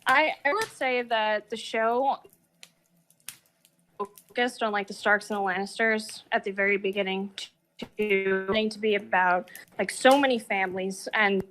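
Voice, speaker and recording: A woman's voice: mid-range voice, fairly even intonation, a little slow, a bit bright. A woman in a casual analytical discussion, articulate but informal as she thinks aloud.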